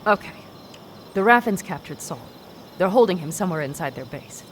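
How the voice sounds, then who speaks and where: A woman playing a determined young nomad in a video game, brisk and commanding as she plans tactics. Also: fairly low pitch, animated, slight background noise, lightly acted. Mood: determined briefing.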